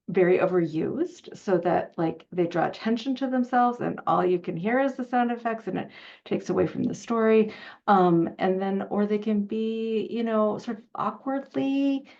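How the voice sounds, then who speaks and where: Creaky-voiced woman hosting, slightly muffled. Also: lowish voice, a little mellow. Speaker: panel host. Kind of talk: enthusiastic interview chat.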